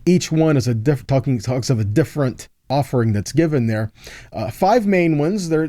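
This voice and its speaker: Mellow man teaching scripture, dry sound. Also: medium pitch, no room sound. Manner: explanatory, checks in with "okay?" and "right?". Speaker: Bible teacher. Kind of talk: conversational sermon teaching.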